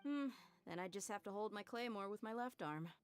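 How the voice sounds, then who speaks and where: An actress voicing a bold young female adventurer in a video game, steady and wry. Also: mid-range voice, a little fast, clear, resonant tone, no room sound, soft background music, lightly acted. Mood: resolute.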